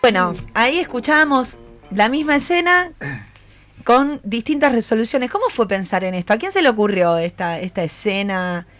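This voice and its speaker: A woman's voice: a little high, sing-song, normal speed, fairly bright tone, faint music behind. A woman with a Rioplatense accent hosting a radio show, asking students guiding questions and affirming their answers. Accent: Rioplatense Argentine. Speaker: radio host. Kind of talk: interviewing students, explanatory radio talk.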